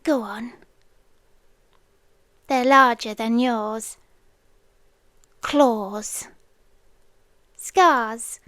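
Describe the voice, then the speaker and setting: British actress as ancient, possessively devoted female beast for ASMR roleplay: high, unhurried, whispery, intimate delivery. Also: smooth, no echo. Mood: solemn, intimate. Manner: slow, hushed delivery with frequent whispers and long pauses.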